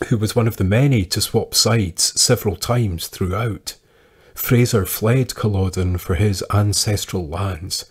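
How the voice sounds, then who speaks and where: A man reading scripted historical tales as a podcast narrator, measured and with dramatic pauses. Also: low-pitched, slightly rough.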